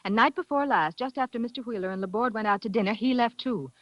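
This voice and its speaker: An actress playing a poised, cautious secretary in a 1940s radio drama, polite and lightly teasing. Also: female voice, fairly high pitch, fast, dry recording, close to an everyday voice. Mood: tense. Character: poised, cautious, quietly playful female secretary. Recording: dull, noisy vintage radio recording.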